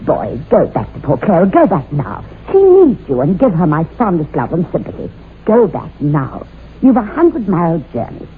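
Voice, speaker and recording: Commanding older aunt, theatrical radio horror style, wide pitch swings, tinny noisy recording. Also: female voice, wildly swooping pitch, normal speed. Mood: pitying, insistent. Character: imperious older aunt, dismissive yet pitying, witch's descendant. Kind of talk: old-time radio horror drama acting.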